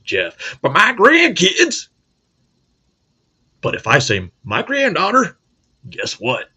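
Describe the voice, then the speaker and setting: Gruff profane middle-aged man. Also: high-pitched, wildly swooping pitch, slow, pushed and bright. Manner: blunt, profane, joking. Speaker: host. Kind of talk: casual monologue.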